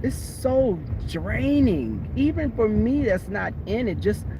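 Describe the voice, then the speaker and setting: A woman vlogging in a car, talking in a blunt, sassy, opinionated way with a voice that is going hoarse. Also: on the high side, lively intonation, slow. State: losing her voice. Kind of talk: casual chat. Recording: noisy car interior.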